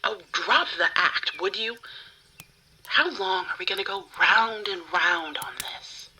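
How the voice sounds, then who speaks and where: A woman playing a cold, obsessive yandere menacing a rival in an ASMR roleplay, hushed, deliberate and contemptuous. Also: mid-range voice, slight background noise, rough recording.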